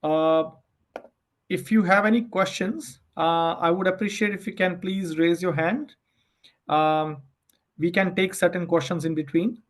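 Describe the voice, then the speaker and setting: Higher-voiced man presenting a webinar. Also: steady tone, unhurried. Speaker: immigration adviser hosting a webinar. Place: online webinar call.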